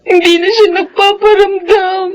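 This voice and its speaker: A woman playing a distraught young pregnant daughter in a melodrama, speaking in a crying, pleading, halting way. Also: very high-pitched, little pitch movement. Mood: tearful, dejected. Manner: tearful, pleading, halting delivery. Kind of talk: melodramatic Filipino radio-style drama acting.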